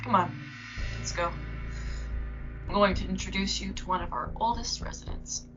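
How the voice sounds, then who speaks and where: A woman's voice: medium pitch, normal speed, lightly acted. A woman playing a knowing, folksy small-town local telling a matter-of-fact story in an audio horror drama. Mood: wry, matter-of-fact. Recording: slightly dull, lo-fi audio. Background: music.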